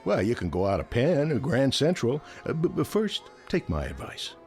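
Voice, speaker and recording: An actor playing a folksy, obliging big-city shopkeeper in a radio drama, chatty and colloquial. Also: male voice, medium pitch, wildly swooping pitch, normal speed, a little mellow, soft background music. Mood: soothing, chatty.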